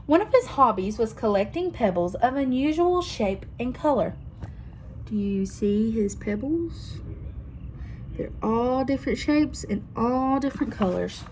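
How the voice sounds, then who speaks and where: A young woman reading a picture book aloud to children, expressive and pausing to ask them questions. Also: on the high side, slight background noise, low-quality recording. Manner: expressive, asks listeners questions. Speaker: children's story reader.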